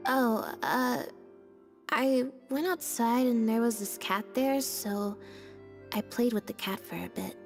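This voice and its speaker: A weary young stage magician who speaks slowly and a bit high in deadpan, clipped phrases. She sounds low on energy throughout. Soft music plays behind her in this game scene. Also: gravelly. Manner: deadpan, monotone, minimal replies. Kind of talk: game voice acting.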